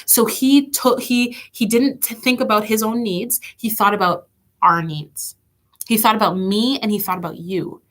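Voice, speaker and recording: A woman's voice: mid-range voice, steady tone, moderate pace, a bit bright. A woman leading an online children's church lesson, chatty and encouraging, with a stuffy nose from allergies. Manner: chatty, encouraging, reads out kids' comments.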